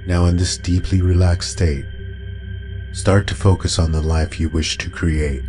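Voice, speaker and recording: Very deep, slow male meditation guide. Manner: calm, soothing, unhurried delivery. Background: meditation music with singing bowl tones.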